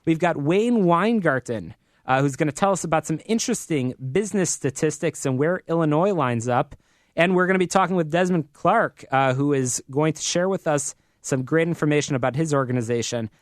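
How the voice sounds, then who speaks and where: A man's voice: on the high side, fairly quick, no room sound. An American man hosting a live business talk radio show from a studio in an upbeat, promotional manner.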